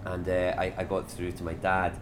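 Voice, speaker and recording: A man's voice: low voice, moderate pace. A man with a Scottish accent, chatting casually and animatedly as a whisky brand ambassador in an interview. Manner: chatty and animated, many "like" fillers. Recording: noisy background.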